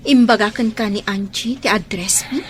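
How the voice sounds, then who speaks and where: A woman playing a soft, deferential household helper in an Ilocano radio drama. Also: medium pitch, moderate pace, faint music behind, natural voice.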